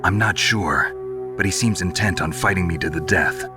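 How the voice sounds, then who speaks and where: An actor playing a gruff, terse soldier on a stealth mission in a video game, restrained and gritty. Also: male voice, low-pitched, normal speed, over music, close to an everyday voice. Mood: grim, guarded.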